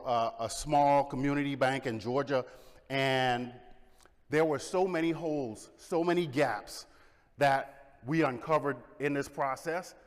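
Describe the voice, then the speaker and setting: A middle-aged man from the Bahamas, giving an energetic conference presentation and asking the audience questions. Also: medium pitch. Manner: energetic, engages audience with questions and shows of hands. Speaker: conference speaker. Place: large conference hall. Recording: long hall reverb.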